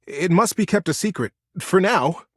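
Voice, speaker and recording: A man's voice: high-pitched, expressive, normal speed, fairly bright tone, no room sound, clean studio sound, pitched above the performer's own voice. A man voicing an earnest male beaver officer in a storybook tale, respectful, eager and determined. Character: earnest, dutiful male beaver under-general.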